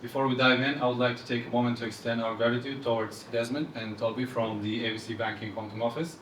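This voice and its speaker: Even-toned Eastern European man, echoey room. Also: medium pitch. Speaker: fintech presenter. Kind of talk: live product presentation. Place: indoor event room, speaking to audience. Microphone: handheld microphone heard from far off. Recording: roomy, distant event-hall sound with noise.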